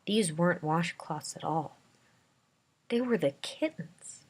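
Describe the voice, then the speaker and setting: A woman's voice: on the low side, expressive, takes it slowly, slightly rough. A woman reading a dramatic first-person story aloud as an amateur narrator.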